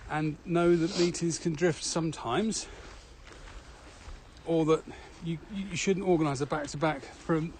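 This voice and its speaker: A man's voice: a little high, fairly even intonation, measured pace, a little mellow. A man co-hosting a podcast, chatting casually and telling anecdotes while out on a walk. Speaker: podcast co-host and trainer. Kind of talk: casual walking podcast chat. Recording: noisy walking recording with ambient sound.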